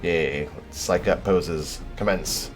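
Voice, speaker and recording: A man's voice: sits low, slow, music in the background. A man streaming a game live, reading the dialogue aloud, restarting words and swearing and laughing in asides.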